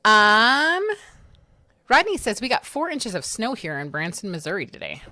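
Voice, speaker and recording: A woman's voice: medium pitch, big pitch swings, moderate pace, sharp tone, dry recording, studio quality. A woman chatting on a livestream, telling stories in a bubbly way with lots of fillers. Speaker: livestream co-host. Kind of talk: casual livestream chat.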